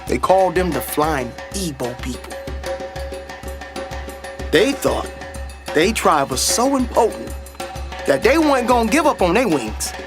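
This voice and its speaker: Man acting a confident young dreamer, higher-pitched, folksy. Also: measured pace, long pauses. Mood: reverent storytelling. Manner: folksy, earnest, expressive storytelling. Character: confident, dreamy older brother, young aspiring pilot. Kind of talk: radio play acting, storytelling. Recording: music behind a dry voice. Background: music.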